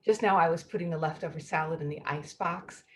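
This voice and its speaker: A woman's voice: low-pitched, close to an everyday voice. An actress playing a fretful, fragile and slightly odd middle-aged woman in a play reading, hesitant and stammering. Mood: overwhelmed, fretful. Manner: anxious, halting, self-pitying. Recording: noisy room recording with ambience.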